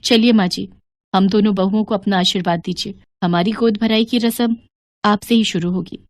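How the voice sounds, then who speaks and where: Woman playing a kind young daughter-in-law, medium pitch. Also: fairly even intonation, normal speed, no room sound, close to an everyday voice. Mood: earnest.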